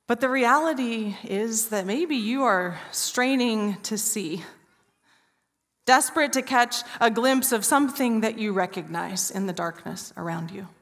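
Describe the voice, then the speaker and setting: A woman in her forties preaching an Easter sermon from a church stage, telling a story with hushed, drawn-out pauses. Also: on the high side. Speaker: pastor. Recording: reverberant church hall.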